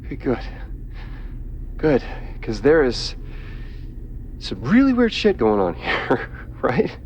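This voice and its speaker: An actor playing a nervous, volatile drill worker in a video game, stammering and cajoling in an unhinged way. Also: male voice, medium pitch, huge pitch swings, slow, slight background noise. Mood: nervous, awkward. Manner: stammering, cajoling, swinging into outbursts. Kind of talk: video game dramatic acting.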